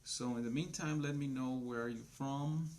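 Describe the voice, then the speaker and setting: A man's voice: medium pitch, steady tone, a little mellow. A man in his thirties from Colombia, teaching a live online English class for beginners, speaking simply and greeting viewers by name. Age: 30s. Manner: slow, simple speech for beginners.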